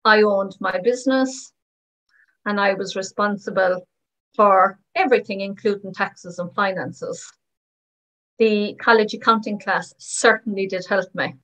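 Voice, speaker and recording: Woman, voice-over interpreter, first-person testimonies, mid-pitched, short even phrases. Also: a little slow, poor audio. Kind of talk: voicing first-person testimonies in plain narration.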